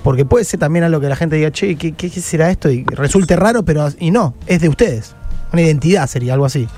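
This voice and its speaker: A fairly high male voice with a Rioplatense Argentine accent, over faint music. He speaks as an interview co-host in casual conversation, haltingly, often rewording a thought midway. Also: expressive, a little mellow. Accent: Rioplatense Argentine Spanish. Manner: halting, rephrasing as he goes.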